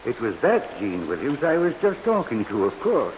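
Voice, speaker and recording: An actor playing a gentle, eerie old morgue attendant who talks with the dead, earnest and folksy, in a vintage radio drama. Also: male voice, mid-range voice, moderate pace, poor audio. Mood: kindly, matter-of-fact. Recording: vintage radio recording with rain hiss. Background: steady rain.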